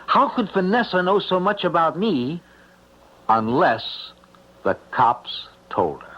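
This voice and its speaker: Hunted fugitive, suspicious, insistent, animated intonation, radio drama, muffled lo-fi recording. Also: male voice, high voice, slow pace, background noise, heavily acted. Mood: angry, suspicious. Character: wary, hunted male fugitive con man in space-age thriller. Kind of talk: old-time radio drama acting.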